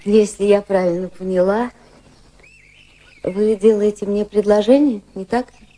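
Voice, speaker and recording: An actress playing a poised, gently teasing widow of about thirty in a Russian radio drama, speaking in a measured, reflective way. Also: female voice, mid-range voice, fairly even intonation, lightly acted. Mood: coy, hesitant. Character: poised thirty-year-old widow of English high society, gently teasing. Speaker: actress and narrator in radio play.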